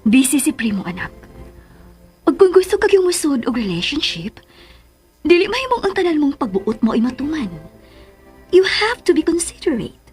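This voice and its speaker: A woman's voice: high-pitched, sing-song, moderate pace, long pauses, darkish tone, soft background music. A woman with a Cebuano Filipino accent playing an indulgent, coaxing mother of a grown daughter in a radio drama. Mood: soothing, reasoning. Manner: coaxing, mixing English into Cebuano.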